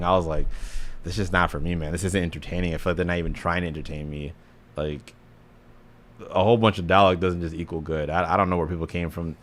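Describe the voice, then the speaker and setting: A young man giving casual, blunt game reaction commentary with slang and swearing. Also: deep voice. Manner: slangy, blunt, profane, says bro often. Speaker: gaming reaction video creator.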